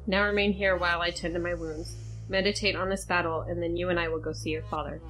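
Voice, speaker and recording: A woman's voice: lowish voice, flat delivery, fast, fairly bright tone, close to an everyday voice, low-quality recording. A woman playing a stern, commanding combat master who gives firm, curt instructions to her student in an audio drama. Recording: music behind the voice.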